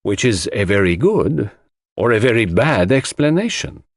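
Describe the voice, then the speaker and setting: A man voicing a shrewd, courteous, theatrical detective in an audiobook, speaking formally and deliberately. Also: lowish voice, very animated, no room sound, clean studio sound. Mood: shrewd, skeptical. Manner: formal, grave, deliberate, questioning. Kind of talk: audiobook character dialogue.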